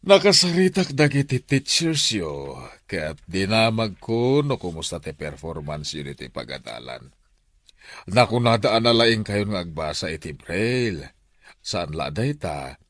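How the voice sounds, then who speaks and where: A man's voice: sits low, animated, unhurried, a bit bright, dry recording, clean studio sound, full character voice. A man playing an encouraging, authoritative school official in a Filipino radio drama, with a Filipino accent.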